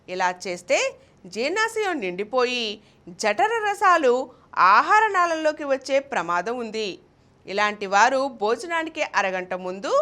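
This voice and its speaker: High, lively woman reading health narration. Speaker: presenter of a health channel. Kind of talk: scripted health explainer narration, read out.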